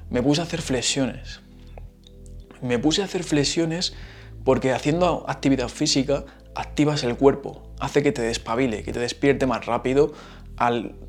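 A man with a Madrid Spanish accent, hosting a casual solo podcast, chatty and explaining things with asides. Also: medium pitch. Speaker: podcast host.

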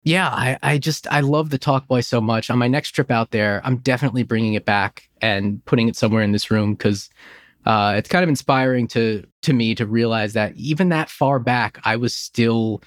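A man's voice: medium pitch, moderate pace, no echo, studio quality. A man in his thirties, a podcast host, chatting casually and nostalgically in an enthusiastic, rambling way. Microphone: close studio microphone in home office.

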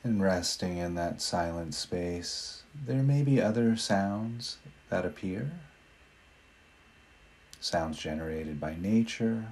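A man leading a guided meditation in a gentle, unhurried manner with long pauses between phrases. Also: low voice.

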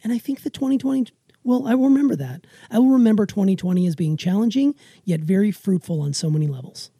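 A woman's voice: mid-range voice, fast, mellow, dry recording, clean studio sound. A middle-aged woman, chatting emphatically and with self-assurance as a podcast co-host. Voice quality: raspy. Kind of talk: casual chat with emphatic opinion.

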